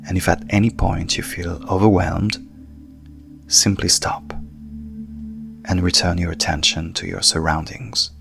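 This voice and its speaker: A low male voice with a gravelly creak leads a sleep hypnosis session. He is calm and measured, letting soft phrases trail into breath and leaving long pauses. Gentle meditative music sits behind him. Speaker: coach and hypnotherapist guiding a session.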